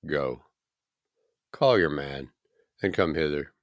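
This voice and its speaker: A deep-voiced, authoritative holy teacher, read by a man in a scripture narration. He makes solemn pronouncements very slowly, with weighty emphasis on key words. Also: dry recording, clean studio sound.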